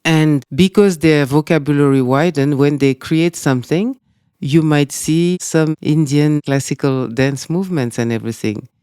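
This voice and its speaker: A man with a French accent speaking as a guest in an interview, talking in a measured, reflective way. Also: a little high, darkish tone, clean tone, no echo, studio quality. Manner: measured, reflective, with frequent "you know". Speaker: guest, dance school director. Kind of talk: conversational interview.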